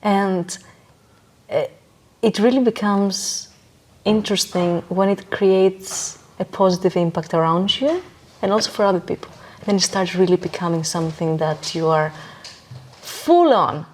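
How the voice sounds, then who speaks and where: A woman speaks as a guest in a conversational interview. Her voice sits at a medium pitch. She shares her views emphatically, often repeating a phrase to stress it. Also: unhurried. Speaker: podcast guest.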